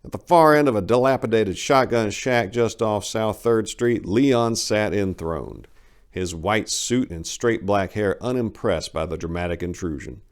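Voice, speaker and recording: A man's voice: lowish voice, measured pace, clear, resonant tone. A man giving a theatrical, emphatic dramatic reading of a short story as narrator.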